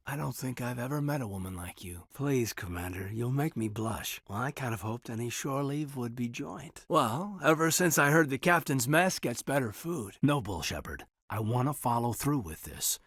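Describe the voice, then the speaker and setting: A man acting in a video game as an earnest, loyal military officer, reserved and thoughtful, with a husky voice and a sincere, measured delivery. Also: mid-range voice, dry recording, clean studio sound. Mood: tender, flirtatious.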